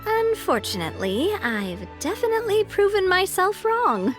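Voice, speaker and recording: Young female leader, high voice, lively melodic range, earnest, breezy, friendly, video game character, music behind. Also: moderate pace. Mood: sheepish.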